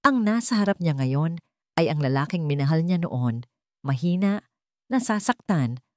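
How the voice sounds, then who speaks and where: Low-voiced Filipino woman narrating drama. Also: expressive, normal speed, no echo, studio quality. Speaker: story narrator. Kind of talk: dramatic scripted romance story narration.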